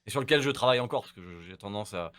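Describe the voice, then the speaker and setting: A man's voice: fairly low pitch, expressive, racing, fairly bright tone, smooth. A man in his forties with a French accent, a guest talking candidly and informally, with lots of fillers. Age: 40s. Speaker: guest, mastering engineer. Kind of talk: casual interview chat.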